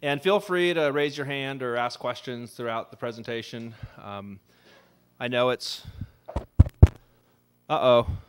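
A man's voice: mid-range voice, unhurried, pauses often, slight background noise. A man in his thirties, an assistant city attorney, giving a conversational ethics training in a city meeting room at a desk microphone, with many fillers. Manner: says he has a loud voice. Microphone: desk microphone on a stand.

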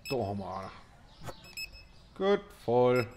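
A man's voice: medium pitch, very animated, measured pace, noisy background. A man with a German accent giving casual let's-play commentary, reading prices aloud in short clipped phrases. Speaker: gameplay commentator.

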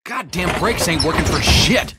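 An actor playing a surly, foul-mouthed Southern US armed guard in a video game. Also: male voice, a little high, animated, normal speed, close to an everyday voice. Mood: irritated, aggressive. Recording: music playing behind.

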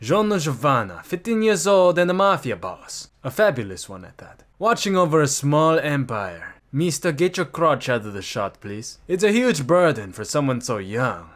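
A man's voice: on the high side, big pitch swings, normal speed, hardly any pauses, a bit bright. A man playing theatrical male anime characters with dramatic, exaggerated delivery in a fan comic dub. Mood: grand, dry.

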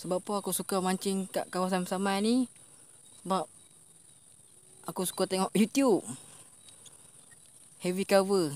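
A man's voice: high-pitched, moderate pace, pauses often. Young Malaysian man narrating his fishing. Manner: excited shouts when a fish strikes. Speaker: fishing vlogger. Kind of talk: casual fishing commentary. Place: outdoors by a swampy plantation stream.

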